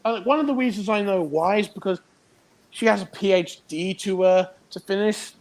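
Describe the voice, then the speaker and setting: A man speaking haltingly as a panel member in a casual online group chat. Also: sits high, darkish tone, rough recording.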